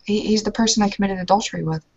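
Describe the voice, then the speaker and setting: A woman's voice: medium pitch, rapid-fire, creaky, a little mellow. A woman in a tabletop roleplaying game, switching between speaking as her character and narrating what she does, hesitant and full of ums. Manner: hesitant, with many ums and uhs. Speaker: tabletop player. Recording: muffled lo-fi recording.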